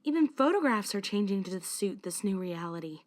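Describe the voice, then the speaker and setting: A woman playing a protective high school club leader who is caring and secretly anxious, in a fan-made visual novel dub. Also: very animated, a little fast, lightly acted. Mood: worried, confiding.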